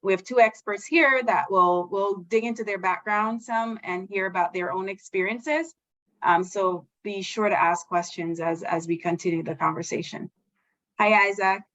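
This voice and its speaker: A woman's voice: mid-range voice, normal speed, a bit bright. A woman hosting a virtual panel as facilitator, talking in a friendly, chatty way with many fillers. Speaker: facilitator, board member. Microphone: video call. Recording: slightly dull video call audio.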